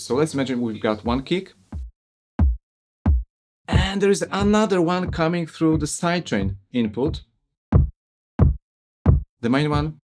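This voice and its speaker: A man with a Polish accent, a native Polish speaker, giving a casual software review and demo with plenty of "uh" and "you know". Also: mid-range voice. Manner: relaxed, explanatory, with frequent "uh" and "you know". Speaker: audio plugin reviewer.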